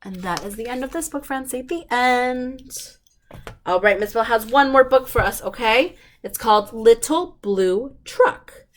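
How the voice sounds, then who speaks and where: A woman reads picture books aloud as a children's storytime host. Her voice sits a little high and she takes it very slowly, with simple, emphatic phrasing aimed at young listeners. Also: lively intonation. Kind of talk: picture book read-aloud with questions to listeners.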